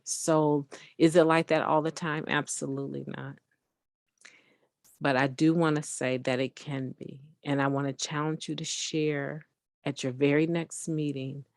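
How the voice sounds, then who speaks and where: Low, gravelly woman with a booming voice. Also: little pitch movement, measured pace, no room sound, clean studio sound. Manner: earnest, conversational, frequent tag questions. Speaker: recovery meditation speaker. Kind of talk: encouraging spoken daily meditation.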